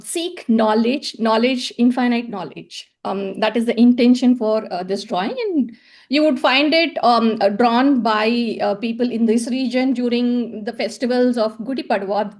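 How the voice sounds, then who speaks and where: A woman's voice: fairly high pitch, a little mellow. A woman from South India, giving an academic presentation with slides, hesitant and full of fillers and repeated words. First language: Tamil. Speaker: conference presenter.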